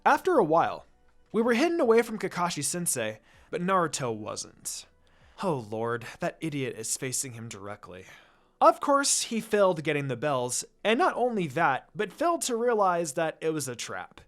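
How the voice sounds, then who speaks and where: A man with a fairly high voice narrates a story aloud. His reading is expressive, with pitch that moves widely and animatedly from phrase to phrase. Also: normal speed, soft background music. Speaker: fan fiction reader.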